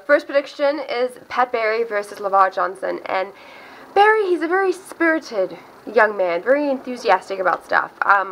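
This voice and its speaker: A woman's voice: mid-range voice, a bit bright, slight background noise, poor audio. A woman from South Africa with an accent that mixes South African and American, chatting casually and upbeat to camera as she gives her fight predictions. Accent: mixed South African and American. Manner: chatty, upbeat, self-interrupting. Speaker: MMA video host.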